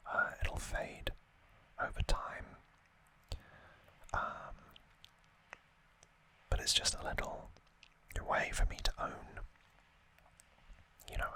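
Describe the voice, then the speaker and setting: A man hosting an unscripted ASMR unboxing in a breathy whisper, speaking in short, halting phrases with pauses. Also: pushed and bright, poor audio. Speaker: ASMR video host.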